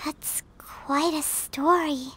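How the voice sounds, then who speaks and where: A woman's voice: high-pitched, steady tone, slow, fairly bright tone, faint music behind, rough recording. A woman voicing a tiny, naive, curious fairy companion in a video game, with a cutesy, childlike way of talking. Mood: puzzled, sympathetic. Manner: cutesy, childlike, refers to self by name. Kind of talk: scripted video game voice acting.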